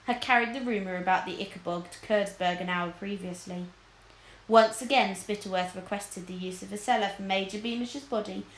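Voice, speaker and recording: A woman reading a children's story aloud as a teacher would, with expressive narration, in an echoey room. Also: mid-range voice.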